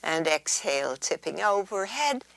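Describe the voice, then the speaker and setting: A woman teaching yoga in a soothing, unhurried way, guiding relaxation and gentle stretches and drifting into breathy near-whispers. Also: mid-range voice, big pitch swings, dry recording, low-quality recording.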